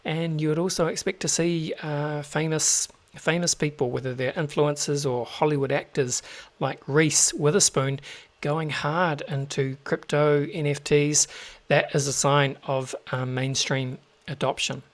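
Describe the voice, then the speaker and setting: A man's voice: a little high, steady tone, unhurried. A man with a New Zealand accent hosting a show, talking casually to listeners with lots of fillers and restarts. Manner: chatty, many fillers and restarts. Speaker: host.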